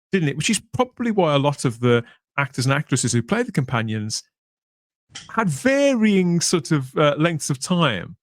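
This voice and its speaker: A man hosting a podcast in a casual but polished way, discursive and measured, often ending on tag questions. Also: mid-range voice, no room sound, clean studio sound. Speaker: podcast host.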